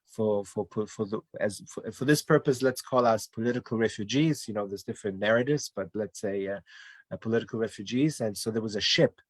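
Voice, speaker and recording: A man's voice: fairly low pitch, fairly quick, no room sound. A man from Denmark, a guest in a casual online interview, telling his family story in a rambling way with many restarts and fillers.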